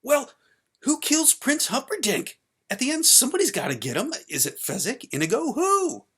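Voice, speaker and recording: A man voicing an upset, stubborn young boy in a story, emotional, insistent and halting as he pleads with his father. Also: a little high, a bit bright. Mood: distraught.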